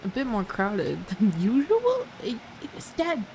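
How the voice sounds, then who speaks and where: Girl streamer reacting to a game, high voice, takes her time, teasing n repeats stuff, faint noise. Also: wildly swooping pitch, slow, a little mellow, low-quality recording. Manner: giggly, teasing, repeats words for effect. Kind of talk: casual live game reaction commentary. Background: game audio.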